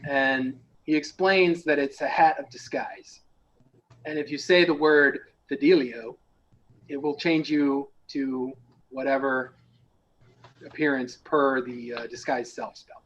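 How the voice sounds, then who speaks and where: A man narrating a tabletop roleplaying game as game master, improvising in a halting, conversational way. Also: medium pitch, fairly even intonation, fairly bright tone, muffled recording.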